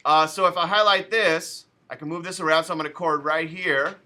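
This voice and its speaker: Male teacher, very bright, slightly high voice, casual step-by-step tutorial delivery. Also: fairly even intonation, a little fast. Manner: chatty, loose asides, talks students through each step. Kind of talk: casual classroom software tutorial.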